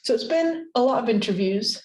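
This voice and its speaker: A woman's voice: fairly high pitch, lively intonation, fairly quick, darkish tone, reverberant, poor audio. A woman with an American accent giving a friendly, chatty research seminar with frequent fillers and light laughs. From United States. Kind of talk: academic seminar talk. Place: university seminar room.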